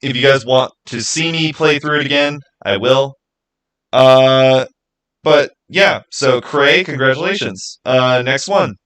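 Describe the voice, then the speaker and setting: A man's voice: mid-range voice, measured pace, a bit bright, low-quality recording. Casual man hosting a livestream. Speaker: livestream host. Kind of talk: casual livestream chat with viewers.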